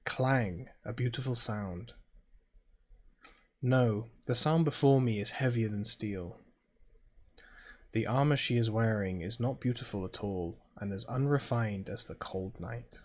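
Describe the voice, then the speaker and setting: A British man hosting a game playthrough, chatting casually and reading story text aloud in a low-key, halting way. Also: darkish tone. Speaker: gaming video host playing a visual novel.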